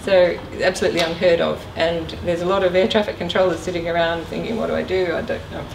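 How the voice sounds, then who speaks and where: A middle-aged woman speaks from some distance in a very echoey room. Her voice is on the low side, and she talks informally, as a guest presenter taking questions. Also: flat delivery, fast. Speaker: guest speaker, former air traffic controller. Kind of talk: informal career talk with questions. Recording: echoey room, distant mic, clear noise.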